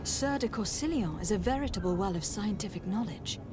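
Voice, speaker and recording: A woman's voice: mid-range voice, lively intonation, brisk, music in the background, close to an everyday voice. A young woman playing a courteous, composed noble envoy in a video game, speaking formally and asking probing questions. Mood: thoughtful. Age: young adult. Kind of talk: dramatic game dialogue.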